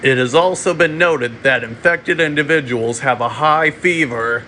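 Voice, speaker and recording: A man delivering a mock emergency alert in a halting way, often repeating and restarting his phrases. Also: medium pitch, sharp tone, a little hiss or hum. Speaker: amateur narrator of a fictional emergency broadcast. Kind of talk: mock emergency alert announcement, semi-improvised.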